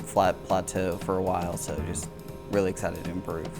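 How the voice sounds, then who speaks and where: A man's voice: deep voice, flat delivery, fairly quick. A young man from Oklahoma, a college swimmer giving casual interview answers. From Oklahoma, United States. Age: college freshman, about 18. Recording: music playing behind the voice.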